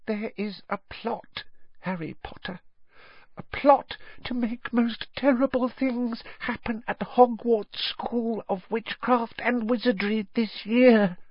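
A man voicing a timid, servile male house-elf in a squeaky, breathy voice, pleading and fawning. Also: steady tone, slow pace, hardly any pauses, no echo, lo-fi sound, full character voice. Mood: urgent hushed. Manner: fawning, calls the listener sir, pleading. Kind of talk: audiobook character voice.

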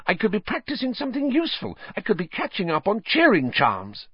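A man voicing an exasperated, bossy teenage schoolgirl who talks in a sharp, indignant, clipped way. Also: sits high, wildly swooping pitch, a little fast, husky, dry recording, pitched above the performer's own voice, exaggerated voice. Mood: irritated. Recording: dry, slightly dull lo-fi.

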